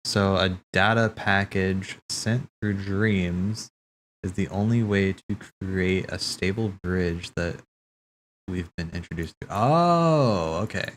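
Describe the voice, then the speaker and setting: Low-voiced man, halting casual commentary, noisy recording. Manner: halting, thinking aloud, stammering. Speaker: streamer hosting a cutscene watch party. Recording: clear background noise.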